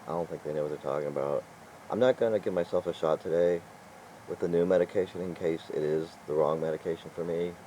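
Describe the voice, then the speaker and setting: A man's voice: extremely low pitch, dark tone, a little hiss or hum, rough recording. An older man talking casually in a vlog, rambling and exasperated, with chuckles and restarts along the way. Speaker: vlogger.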